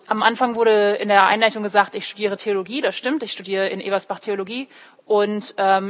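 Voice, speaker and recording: A young woman, a native English speaker raised in Germany, preaches in an explanatory way, addressing listeners directly and voicing short quotes. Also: mid-range voice. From Germany, born in California and raised in Heidelberg. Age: young adult. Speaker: theology student preaching at church. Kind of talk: sermon with personal illustrations. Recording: echoey hall with long reverb.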